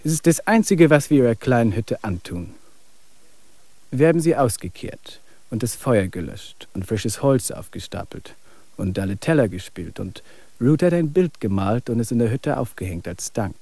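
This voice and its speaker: An actor in a radio play as a wry, weary male traveler, speaking in an even, reflective way. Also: mid-range voice, normal speed, no echo. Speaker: main character.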